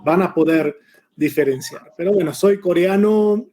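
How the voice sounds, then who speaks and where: Soft-toned man, mixed Argentine-Mexican Spanish. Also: high voice, unhurried. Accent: mix of Argentine and Mexican Spanish. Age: 40s. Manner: relaxed, chatty, with frequent hesitations. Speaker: missionary Bible teacher. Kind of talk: Bible study lesson over a video call.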